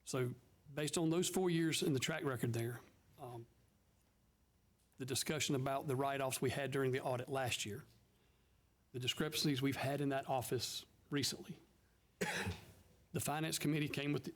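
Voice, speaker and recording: A man's voice: medium pitch, steady tone, moderate pace. A man, a council member reporting methodically to a county council meeting. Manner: methodical, explains step by step.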